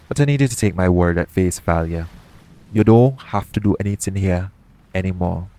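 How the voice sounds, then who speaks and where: A man playing a roguish, caring pirate captain in an intimate audio roleplay, speaking in a soothing, gently teasing manner. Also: low voice, moderate pace, a little hiss or hum, natural voice. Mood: gentle, reassuring.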